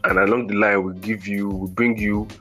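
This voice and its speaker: A man's voice: fairly low pitch, level tone, fairly bright tone, faint music behind. A male podcast host, chatting casually and restarting his sentences often. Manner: halting, many restarts and repeated words.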